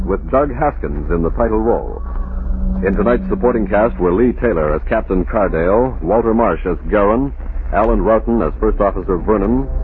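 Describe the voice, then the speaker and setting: A man announcing in a formal, measured mid-century radio style, reading from a script. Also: fairly low pitch, noisy background. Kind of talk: 1940s-50s radio announcing, scripted credits. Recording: narrow-band old radio broadcast with music. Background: music.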